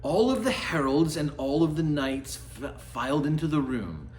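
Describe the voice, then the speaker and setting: Animated man reading a storybook aloud. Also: a little high. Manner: theatrical, deliberate. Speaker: storybook reader. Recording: echoey room, faint background noise.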